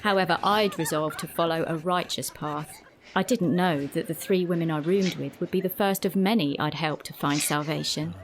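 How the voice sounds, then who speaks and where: A woman's voice: low voice, normal speed, a little hiss or hum, close to an everyday voice, poor audio. A young woman playing a defiant, streetwise pregnant woman of eighteenth-century London in an audio drama, speaking and narrating frankly. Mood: reflective. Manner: frank, plain-spoken. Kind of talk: audio drama dialogue and first-person narration.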